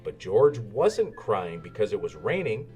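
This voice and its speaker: Animated, slow-paced male storybook reader. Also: fairly low pitch, breathy edge. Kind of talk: animated children's story narration. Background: music.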